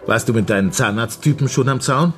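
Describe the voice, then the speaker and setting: An actor playing a sardonic middle-aged criminal judge with dry, teasing wordplay in a naturalistic radio drama. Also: male voice, medium pitch, fairly quick, a bit bright, faint music behind, close to an everyday voice. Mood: amused.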